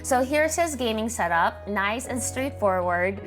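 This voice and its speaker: A woman's voice: fairly high pitch, lively intonation, measured pace, soft background music, poor audio. A woman with a Filipino accent, hosting and chatting casually as she looks over viewers' setups. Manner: chatty, upbeat, thinks aloud. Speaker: host reviewing viewer gaming setups. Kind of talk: casual commentary.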